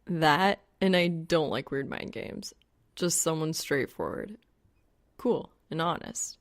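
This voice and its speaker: A woman voicing a wry, exasperated young male gamer in a deadpan, grumbling way for an audiobook. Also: low-pitched, takes it slowly. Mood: awkward.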